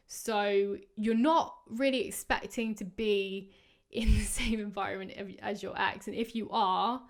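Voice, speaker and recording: Chatty woman with a tiring voice. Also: on the high side. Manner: chatty, rambling, many fillers. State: hoarse, voice going. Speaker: podcast host. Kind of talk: casual advice chat. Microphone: podcast microphone.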